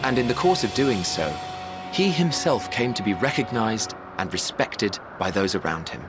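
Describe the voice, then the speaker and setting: An actor as a low-voiced, genial, eloquent gentleman. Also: expressive, normal speed, lightly acted. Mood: fond, proud. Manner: eloquent, gently teasing, formal phrasing. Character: genial, wry, self-effacing ancient man, serene friend. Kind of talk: video game cutscene acting. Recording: music behind the voice.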